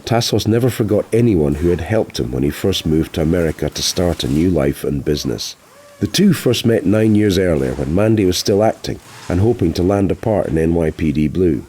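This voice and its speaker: A man's voice: deep voice, a little mellow, slight background noise. A man reading a novel aloud as an audiobook narrator, in a scripted storytelling style.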